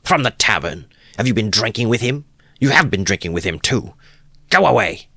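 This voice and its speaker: A man voicing a desperate, frenzied wife who screams at her drunken husband in a shrill, high voice. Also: lively intonation, normal speed, sharp tone, dry recording, studio quality, full character voice. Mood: accusing.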